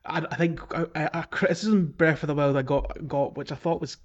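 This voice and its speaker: A man's voice: a little high, fairly quick, muffled recording. A man hosting a podcast, talking casually about video games. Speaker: podcast host.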